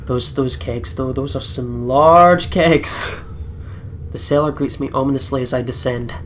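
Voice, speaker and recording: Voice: mid-range voice, measured pace, slight background noise. A let's play host reading game narration aloud dramatically, with casual, sweary commentary.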